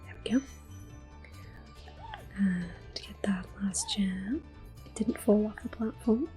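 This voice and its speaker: A woman giving gentle, hushed, unhurried ASMR commentary on a video game, soft-spoken and often whispering. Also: mid-range voice, lively intonation. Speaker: gameplay video host. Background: game music.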